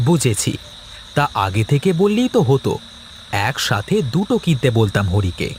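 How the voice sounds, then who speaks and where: A man's voice: medium pitch, sing-song, moderate pace, a little mellow, slight background noise, close to an everyday voice. A man voicing a practical, placating village trader and husband in a cartoon. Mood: resigned, placating. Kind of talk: cartoon dialogue acting.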